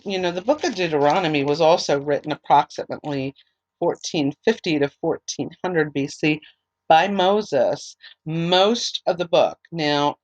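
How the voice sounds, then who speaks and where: A woman teaching a Bible study, reading scripture aloud and then explaining it with many ums and restarts, her voice a little hoarse. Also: deep voice, a little mellow, no echo, muffled recording. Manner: reads verses steadily, then talks loosely with many ums and restarts. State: losing her voice, a bit hoarse. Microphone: online recorder, computer setup.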